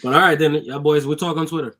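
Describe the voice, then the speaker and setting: A man's voice: a little high, fairly even intonation, fast, bright, forceful tone. A Haitian-born man whose first language is French, co-hosting a podcast in casual chat, laughing often and agreeing readily. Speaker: podcast co-host.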